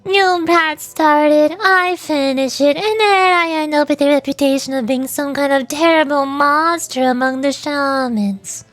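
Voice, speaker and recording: A woman voicing a brash, proud old woman shaman who talks in a gruff, indignant, boastful way. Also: high-pitched, unhurried, hardly any pauses, fairly bright tone, no room sound, faint music behind. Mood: grumbling.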